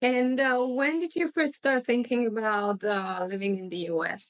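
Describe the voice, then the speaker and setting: This is a woman with a somewhat high voice. She hosts an interview podcast and speaks conversationally as she addresses listeners and her guest. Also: steady tone, moderate pace.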